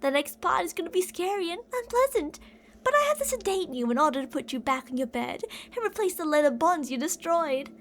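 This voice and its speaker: A woman's voice: very high voice, expressive, fairly quick, slight background noise. A woman playing an obsessive older female kidnapper in an ASMR roleplay, cooing and possessive, doting yet menacing, with theatrical delivery. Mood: menacing, teasing. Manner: cooing, possessive, theatrical, with pet names and whispered phrases.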